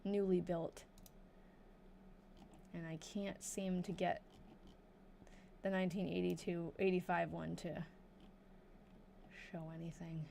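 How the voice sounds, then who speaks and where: A young woman with a New England accent, chatting casually on a live stream as she reads an old diary aloud slowly and thinks out loud. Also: fairly low pitch, flat delivery, vocal fry, a little hiss or hum. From New Hampshire. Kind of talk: casual livestream chat and slow diary reading.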